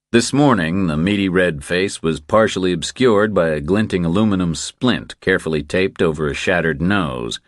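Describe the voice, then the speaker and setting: A man's voice: low voice, dry recording, clean studio sound. A man reading a thriller novel aloud as scripted audiobook narration.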